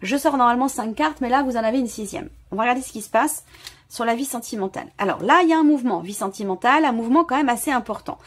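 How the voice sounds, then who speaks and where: A woman with a clearly high-pitched voice talks the viewer through a tarot reading. She searches for the right word as she goes, often stopping to put the same idea another way. The delivery is unscripted and addressed directly to the listener. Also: quick pace. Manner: hesitant, searching for words, often rephrasing. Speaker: tarot reader, channel host.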